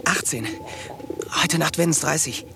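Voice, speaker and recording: A man's voice: a little high, unhurried. A dreamy, intense teenage boy for a radio play. Pigeons coo and click audibly around the bird-obsessed youngster. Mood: hushed, intent. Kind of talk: German radio drama acting. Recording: clear noise, clicks and cooing pigeons. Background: pigeons cooing, clicks.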